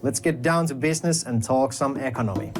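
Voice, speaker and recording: A man from a game development team explaining the game's economy in a measured way, in a trailer clip. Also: mid-range voice. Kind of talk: developer insight trailer narration. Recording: clip played with music behind. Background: music.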